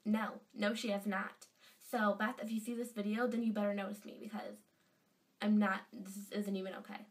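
A woman's voice: mid-range voice, little pitch movement, normal speed, recorded from a distance. A teenage girl with a stuffy nose from a cold, gushing in a casual fan vlog from her bedroom. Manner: chatty and gushing, lots of fillers. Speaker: vlogger. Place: bedroom indoors. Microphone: webcam at desk distance.

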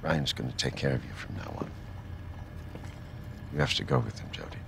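A man playing a troubled middle-aged scientist in a video game drama, speaking softly and gravely. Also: extremely low pitch, huge pitch swings, moderate pace, background noise, exaggerated voice. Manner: soft, grave, apologetic.

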